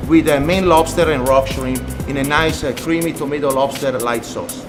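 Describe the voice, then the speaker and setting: A man from Italy talks with an Italian accent, working around his second language and leaning on fillers as he goes. His voice sits fairly high for a man. Music plays underneath him. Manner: halting, many fillers. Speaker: executive chef. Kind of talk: TV interview.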